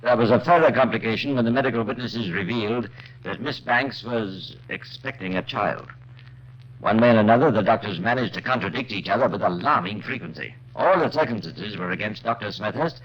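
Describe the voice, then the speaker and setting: A man narrating a 1950s radio crime drama in a deliberate, suspenseful scripted style. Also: fairly low pitch, a bit bright. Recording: muffled old radio recording.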